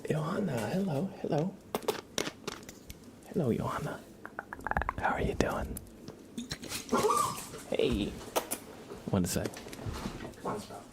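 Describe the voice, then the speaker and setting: A man doing casual ASMR live-stream chat in a soft, whispery, hushed voice, rambling informally. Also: a little high, big pitch swings, slow, vocal fry, pauses often, noisy background.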